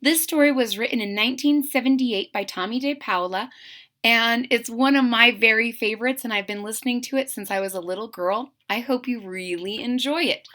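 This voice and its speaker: A woman reading a children's storybook aloud as a kindergarten teacher, with expressive, explanatory asides to young listeners. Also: fairly high pitch, a little fast.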